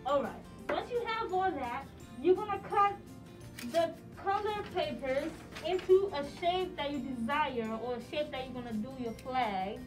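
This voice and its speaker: A young woman in a small classroom, giving children clear step-by-step craft directions. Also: high-pitched, lively intonation, a little slow. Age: young adult. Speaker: student teacher leading a craft activity. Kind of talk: instructing children. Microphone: far camera. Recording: distant mic with music behind.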